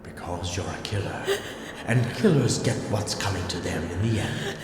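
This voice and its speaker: A man's voice: deep voice, lively intonation, normal speed. A man playing a sadistic, genteel serial killer spirit in a horror audio drama, taunting and menacing with theatrical relish. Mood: sinister relish. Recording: echoey cavernous chamber with clear noise.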